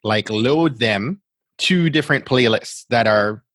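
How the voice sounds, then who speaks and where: A man's voice: mid-range voice, takes it slowly, a bit bright, dry recording. A middle-aged man hosting a podcast interview, chatty and affirming, often checking in with "right?". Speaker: podcast host. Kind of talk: conversational interview.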